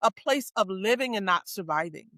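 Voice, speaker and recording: A woman's voice: medium pitch, expressive, normal speed, fairly bright tone, dry recording. A woman from the United States hosting a faith-based podcast conversation, who speaks emphatically, repeats her phrases and tags her points with "right?".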